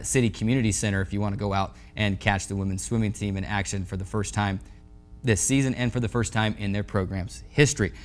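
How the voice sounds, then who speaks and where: An American man in his twenties hosting a sports show, presenting in a sportscast style. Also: on the low side. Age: 20s. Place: indoor TV studio sets. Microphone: lapel mic.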